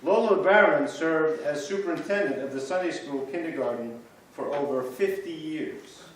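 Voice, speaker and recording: A man performing reader's theater, reading scripted church history stories in a measured storytelling way, in a church. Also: on the high side, a little hiss or hum. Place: church sanctuary. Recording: echoey church from far off.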